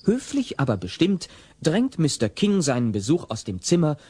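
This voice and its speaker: A man narrating a scripted audio play as an expressive storyteller. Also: fairly high pitch, moderate pace, slight background noise. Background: loud music.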